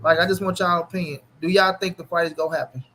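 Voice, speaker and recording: A man's voice: sits high, fairly even intonation, quick pace, bright, forceful tone, slight background noise. A man hosting a casual boxing chat, brash and profane, steering the panel along. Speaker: host.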